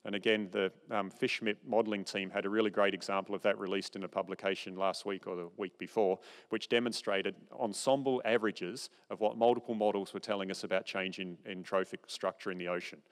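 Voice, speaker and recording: A man's voice: fairly low pitch, steady tone, fairly quick, few pauses. A middle-aged man giving a conference keynote lecture from a podium on a large stage, explaining in an informal, conversational way. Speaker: keynote speaker. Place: large dark auditorium stage. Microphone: podium microphone, far camera. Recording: long hall reverb.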